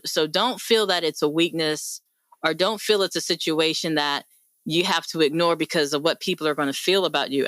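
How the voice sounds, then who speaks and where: A woman's voice: fairly low pitch, a bit bright, no room sound. A woman hosting a radio panel discussion, speaking in an encouraging, conversational and emphatic way. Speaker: host; mental health professional.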